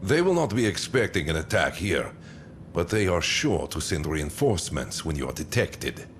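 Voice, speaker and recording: An actor playing a menacing, silky, commanding warlord in a video game cutscene, theatrical and condescending in a deliberate delivery. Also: male voice, sits low, very animated, a little hiss or hum. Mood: veiled threat.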